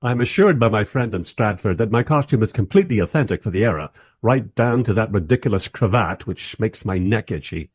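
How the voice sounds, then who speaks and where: A man playing a wry, politely exasperated film director who narrates a radio play in conversational asides with a husky voice. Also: fairly low pitch, expressive, a little fast, no room sound, clean studio sound.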